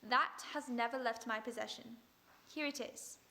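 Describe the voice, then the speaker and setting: A woman playing a calm, authoritative female detective in a radio play, speaking in a measured way. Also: on the high side. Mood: composed. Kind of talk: 1940s radio acting.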